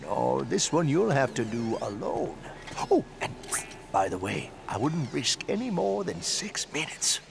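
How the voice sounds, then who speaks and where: An actor playing an eccentric, cheerful old plumber in a video game, rambling along in folksy colloquial talk. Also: male voice, mid-range voice, sing-song, measured pace, creaky, very noisy, full character voice. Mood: folksy advising. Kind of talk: video game cutscene acting.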